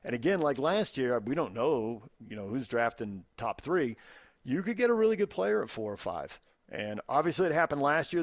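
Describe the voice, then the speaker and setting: A man's voice: medium pitch, no room sound. American man in dry-sounding sports talk. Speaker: sports radio co-host. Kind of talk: casual sports talk commentary.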